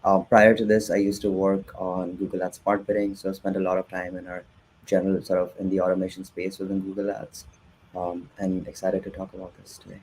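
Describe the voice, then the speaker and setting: Guest: man with a very deep, flat voice, soft-spoken, faint noise behind. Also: fairly quick, low-quality recording. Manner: soft-spoken, hedging with "sort of". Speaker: guest product manager. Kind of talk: webinar interview.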